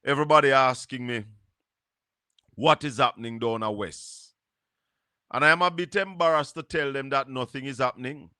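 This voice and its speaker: A Jamaican man with a Jamaican accent hosting a live stream, musing slowly and slipping into patois. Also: medium pitch, sharp tone, no echo, studio quality. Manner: slow, musing, drops into patois. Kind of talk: casual live-stream commentary.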